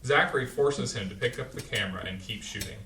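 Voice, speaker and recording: A man's voice: medium pitch, quick pace, bright, forceful tone, a little hiss or hum. A man reading the stage directions aloud in a plain, matter-of-fact way during a community staged reading. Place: small community playhouse stage. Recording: echoey hall, distant mic.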